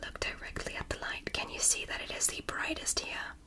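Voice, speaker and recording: A woman playing a gentle, fascinated alien doctor in an ASMR medical roleplay, speaking in a breathy, soothing whisper with encouraging, deliberate delivery. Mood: gentle, attentive. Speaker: ASMR performer.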